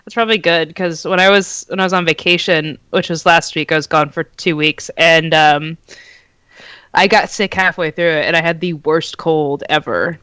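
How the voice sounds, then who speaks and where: A young man chatting on a podcast, winding through anecdotes and breaking into laughs. His voice is high, bright and smooth, recorded dry and close. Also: moderate pace, dry recording. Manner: rambling storyteller, laughs often. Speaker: podcast co-host. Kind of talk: casual chat.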